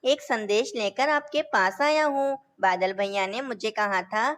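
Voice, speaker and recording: High-pitched male cartoon bird voiced by a woman. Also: a little slow, close to an everyday voice. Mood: earnest. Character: male cartoon birds: helpful parrot and lazy scheming crow. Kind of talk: Hindi animated children's story dialogue.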